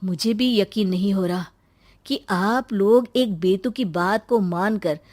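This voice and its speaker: A woman's voice: medium pitch, slow, darkish tone. A woman voicing a curious, bold village boy in a story, questioning and exclaiming defiantly.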